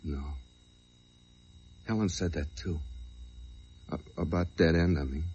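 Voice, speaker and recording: Low, husky, troubled radio-drama man. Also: slow, warm tone, exaggerated voice. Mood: earnest, hesitant. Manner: hesitant, colloquial, tender. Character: gentle, troubled man breaking grim news. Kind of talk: 1940s radio drama acting. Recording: muffled old radio recording.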